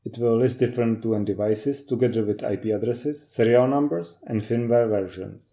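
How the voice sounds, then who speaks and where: Man with a very dark, mellow voice. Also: mid-range voice, normal speed. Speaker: technical trainer. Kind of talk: scripted instructional narration.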